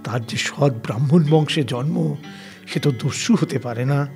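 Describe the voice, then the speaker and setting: A man's voice: medium pitch, unhurried, vocal fry, a little mellow, soft background music. A man playing a distressed, pious Brahmin subject who speaks to his king in formal, archaic words in an audio story. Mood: hesitant, disbelieving. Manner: formal, archaic, literary wording, hesitant. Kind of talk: dramatised audio story dialogue.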